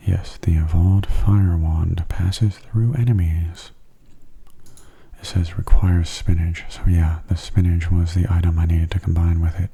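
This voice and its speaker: Soft spoken guy doing asmr game commentary, breathy almost whisper, really low and flat, close on the mic. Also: unhurried. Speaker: gaming channel host.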